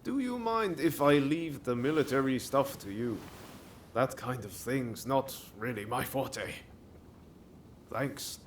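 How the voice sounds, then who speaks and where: An actor playing an easygoing, friendly young samurai reformer in a video game, casual and easily flustered. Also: male voice, mid-range voice, expressive, takes it slowly, slight background noise. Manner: casual, friendly, hesitant when cornered. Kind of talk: video game cutscene acting.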